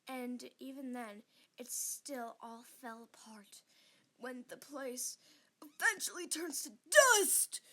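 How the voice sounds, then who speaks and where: Young female comic-dub narrator, amateur read; high pitch, deliberate pace. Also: bright, forceful tone, slightly rough. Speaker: amateur voice-over reader.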